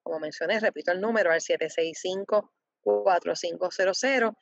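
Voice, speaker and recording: A woman representing a foundation, answering interview questions with many pauses, fillers and restarts. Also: on the low side, vocal fry, no echo. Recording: dull lo-fi dry sound.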